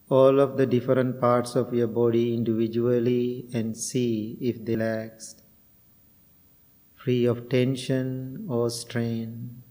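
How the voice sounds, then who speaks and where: Middle-aged male Buddhist monk from Sri Lanka, unhurried, gentle, pausing often, even-toned, long reverb. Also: mid-range voice. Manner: slow, gentle, with frequent pauses and fillers. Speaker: Buddhist monk and meditation teacher. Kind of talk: guided meditation and dharma talk.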